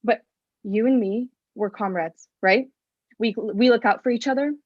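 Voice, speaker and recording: A woman's voice: fairly high pitch, moderate pace, dry recording, highs cut off, lightly acted. An actress playing a tense, irritable woman who is curt and profane, in a staged play reading. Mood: guarded, pointed. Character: tense, irritable woman, reluctant accomplice in a killing.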